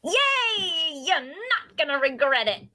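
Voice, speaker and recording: Chatty, teasing young female adventurer played by a woman, very animated delivery, folksy and persistent, for fantasy audio drama. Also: extremely high pitch, slow pace, exaggerated voice. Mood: delighted. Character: chatty, nosy, cheerful young female traveler. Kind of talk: dark fantasy audio drama table read.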